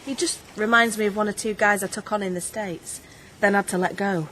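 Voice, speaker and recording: An actress playing a brisk, exacting young pig farm worker, matter-of-fact and critical, in a naturalistic radio soap. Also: female voice, medium pitch, steady tone, fairly bright tone, a little hiss or hum, close to an everyday voice, rough recording. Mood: guarded, critical.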